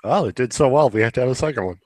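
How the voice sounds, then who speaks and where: A man co-hosting a video game show, chatting casually in a halting way with joking exaggeration. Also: medium pitch, animated, darkish tone, no room sound, clean studio sound. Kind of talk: casual chat.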